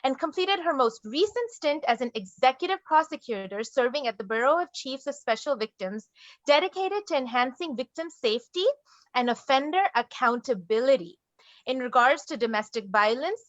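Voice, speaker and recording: Woman moderator, high and dry, slightly dull call audio; formal introductions. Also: expressive, normal speed, no room sound. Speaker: moderator and case management director. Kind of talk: online panel moderation.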